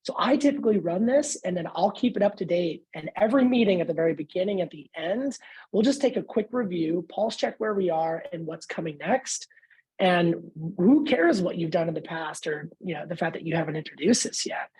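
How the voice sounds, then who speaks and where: Husky conversational woman on a panel. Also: on the low side, lively intonation, brisk, darkish tone, rough recording. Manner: informal, seeks agreement with frequent tags. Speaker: sales expert panelist.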